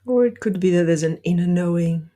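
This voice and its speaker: A woman leading a guided meditation, giving gentle, deliberate instructions with soft, breathy phrasing. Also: on the low side.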